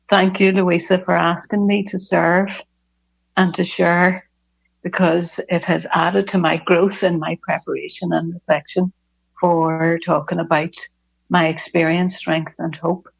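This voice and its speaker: A middle-aged woman with a Belfast accent speaks in a lowish, gravelly voice. She talks earnestly and reflectively, pausing with small hesitations. The sound is dull and lo-fi, as over an online call. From Northern Ireland. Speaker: speaker at a recovery fellowship meeting. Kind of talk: personal testimony talk.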